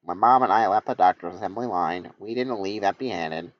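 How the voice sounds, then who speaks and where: A man's voice: low voice, fairly quick, natural voice. Man reading a helpful matter-of-fact robot. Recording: dull lo-fi recording.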